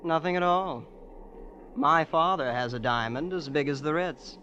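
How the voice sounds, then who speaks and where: An actor playing a smug young heir who boasts of his family's wealth in a calm, matter-of-fact way, in 1940s radio acting. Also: fairly high pitch, a little slow, a little hiss or hum. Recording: dull old radio recording.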